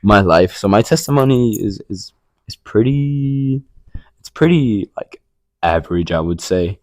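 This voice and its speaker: A man's voice: fairly low pitch, expressive, unhurried, no room sound. A young man from Rico, sharing his faith story in a rambling casual chat full of fillers and restarts. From Puerto Rico. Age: young adult. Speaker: podcast co-host.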